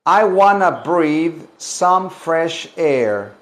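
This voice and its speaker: A man teaching English, switching between languages and repeating each phrase slowly for learners. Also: on the high side, animated. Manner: slow, deliberate drilling with many repetitions. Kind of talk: bilingual language lesson.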